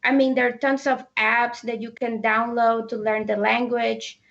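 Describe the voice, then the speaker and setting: A young woman from the Dominican Republic with a Dominican Spanish accent, speaking thoughtfully with many pauses in a casual discussion. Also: on the high side, little pitch movement, gravelly, fairly bright tone, lo-fi sound. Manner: thoughtful, hesitant with many ums.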